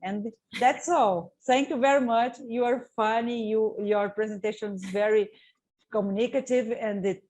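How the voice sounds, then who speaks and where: Woman, fairly high, thankful, repeats words, video call. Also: fairly even intonation, normal speed, a little mellow, poor audio. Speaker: university staff.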